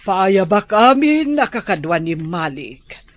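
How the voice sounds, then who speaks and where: A woman's voice: mid-range voice, sing-song, no room sound. A woman playing an authoritative, protective noblewoman in a radio drama, speaking in a commanding way with an Ilocano accent. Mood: firm, commanding. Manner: commanding, deliberate, questioning. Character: authoritative, protective noblewoman and mother, Dayang Rio. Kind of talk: Filipino radio drama acting.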